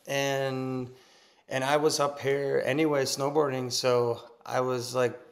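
A man in his thirties with a Norwegian accent, chatting casually as a podcast guest over a video call from his kitchen, relaxed and laughing easily. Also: medium pitch, takes it slowly, gravelly. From Norway. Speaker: guest, pro snowboarder. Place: home kitchen, indoors.

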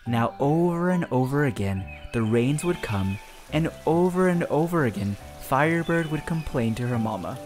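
Expressive storybook narrator over music. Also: medium pitch. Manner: expressive, dramatic, deliberate storytelling. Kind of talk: scripted children's storybook narration.

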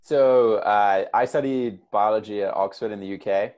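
A man in his late twenties with an English accent, a startup co-founder answering interview questions in a measured way with fillers and restarts. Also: fairly low pitch, a little mellow, dull audio. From England. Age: 20s.

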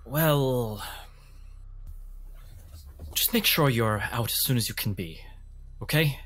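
A man's voice: mid-range voice, slow, fairly bright tone, husky. A man voicing a polite, dutiful ship's crewman, apologetic yet insistent, in a fantasy role-play podcast. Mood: politely insistent. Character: polite, dutiful male cargo ship crewman.

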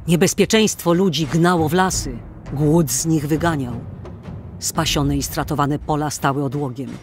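A woman narrating a radio drama in a dramatic, literary storytelling manner. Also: sits low.

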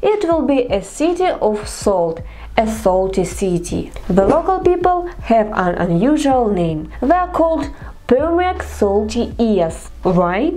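Young Russian woman, slow careful English. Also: on the high side, sing-song, mellow. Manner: careful, deliberate non-native English. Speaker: vlogger. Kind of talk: scripted narration to camera.

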